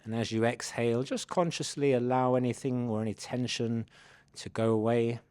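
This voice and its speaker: A man leading a guided body scan meditation, giving gentle, unhurried invitations as a mindfulness instructor. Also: mid-range voice, steady tone, clean tone, no room sound.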